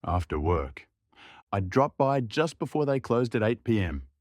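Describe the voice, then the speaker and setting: A man's voice: on the low side, sing-song, unhurried, no room sound, studio quality. A young man giving scripted audio drama narration as a subdued, reflective inner monologue.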